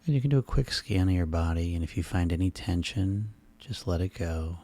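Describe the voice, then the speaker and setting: Male hypnosis guide: very low, dark and relaxed tone, soothing and hushed, gently instructing a listener toward sleep. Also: fairly quick.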